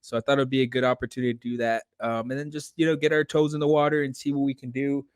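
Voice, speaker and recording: Male interviewer, mid-pitched and clean-toned. Casual, loose, rambling phrasing, very little room sound. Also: little pitch movement, fast talker, clear, resonant tone, no room sound, studio quality. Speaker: podcast host.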